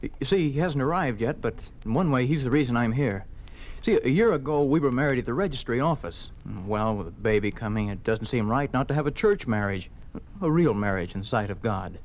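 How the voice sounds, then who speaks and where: An actor playing an earnest young husband in a 1950s radio drama, polite, sincere and a little hesitant. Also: male voice, medium pitch, lively intonation, brisk, hardly any pauses, lightly acted. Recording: faintly noisy old radio recording.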